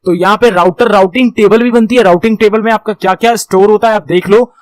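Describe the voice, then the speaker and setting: Male instructor, high voice, didactic and repetitive explainer delivery, very dry recording. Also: fairly even intonation, quick pace, dry recording. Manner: didactic, repetitive, often checking in with listeners. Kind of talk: explanatory computer networking lesson, reading notes and explaining them.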